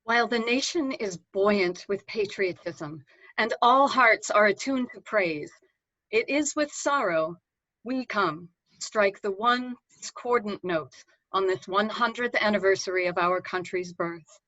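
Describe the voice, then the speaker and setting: Female orator, bright forceful tone, solemn dramatic reading. Dry, somewhat lo-fi recording. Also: mid-range voice, measured pace, no room sound. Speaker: reader of a historical declaration. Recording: dull, dry lo-fi audio.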